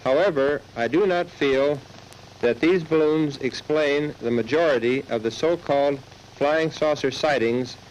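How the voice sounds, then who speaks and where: A man giving a formal expert statement in a careful, slightly halting way on a 1950s newsreel soundtrack. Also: fairly high pitch, a little mellow. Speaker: aeronautics expert. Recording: faintly noisy 1950s film soundtrack.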